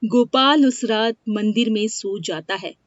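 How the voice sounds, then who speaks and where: A woman's voice: a little high, measured pace, no room sound. A woman reading a scripted moral story as its narrator. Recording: dry, dull lo-fi studio sound.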